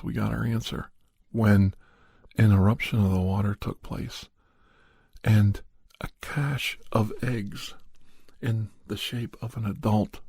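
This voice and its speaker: Husky guy playing a serious doctor telling a creepy monster story, halting delivery, really dry close sound. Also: lowish voice, warm tone, dry recording, exaggerated voice. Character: male doctor recounting a creature hunt, measured and serious. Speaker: storyteller narrator. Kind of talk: first-person horror storytelling for an ASMR podcast.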